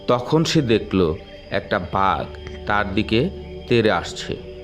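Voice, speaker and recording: Man narrating, slow measured pace, low voice, background music. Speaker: storyteller narrator. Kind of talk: scripted moral-story narration.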